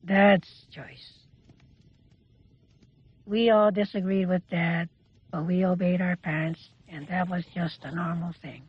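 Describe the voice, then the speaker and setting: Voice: medium pitch, steady tone, slow, pauses often, breathy edge. An older speaker recalling their life in plainspoken, short, reflective phrases. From Canada. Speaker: interviewee recalling childhood. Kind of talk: personal oral-history storytelling. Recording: dull lo-fi audio under music. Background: music.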